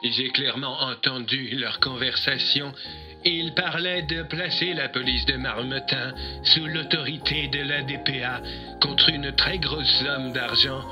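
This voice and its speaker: A man's voice: medium pitch, a little slow, over music, lightly acted. A man playing an overly earnest young soldier giving testimony as a caller in an audio drama, with a solemn, recited delivery.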